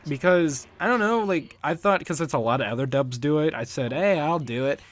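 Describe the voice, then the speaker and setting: Casual, halting man giving commentary. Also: a little high. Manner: rambling, halting, chuckles often. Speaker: fan dub creator, commentator. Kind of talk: casual unscripted solo commentary.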